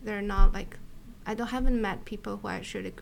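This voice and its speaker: A woman's voice: mid-range voice, fairly even intonation, fairly quick, gravelly, a little hiss or hum. A middle-aged woman from China, a scientist chatting casually in an interview, laughing often and repeating words. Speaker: scientist and podcast guest. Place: her office. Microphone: desk mic.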